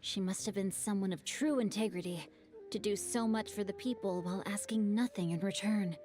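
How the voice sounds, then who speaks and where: Game casting: woman voicing a polite, thoughtful young scholar, measured storyteller. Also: medium pitch, a little mellow, lightly acted. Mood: storytelling. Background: faint game music.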